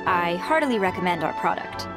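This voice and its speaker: A woman's voice: on the low side, expressive, a little fast, loud music behind the voice. An actress playing a courteous, businesslike female merchant in a video game, speaking formally and with composure. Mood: polite, businesslike. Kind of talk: English video game dub acting.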